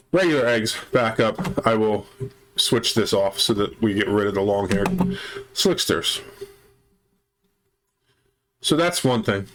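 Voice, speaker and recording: A man's voice: lowish voice, fairly bright tone. A man with a chest cold, hosting a let's play and methodically explaining his base repairs step by step. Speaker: gaming channel host.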